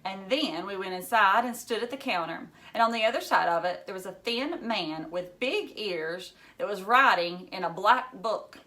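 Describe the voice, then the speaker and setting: A woman teacher reading a story aloud to children in an expressive way, emphasizing key words. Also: medium pitch, normal speed, hardly any pauses.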